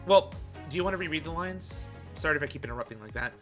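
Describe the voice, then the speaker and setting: A man's voice: a little high, animated, fast talker, fairly bright tone. A man chatting casually as host of a group game-dubbing stream. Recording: music behind the voice.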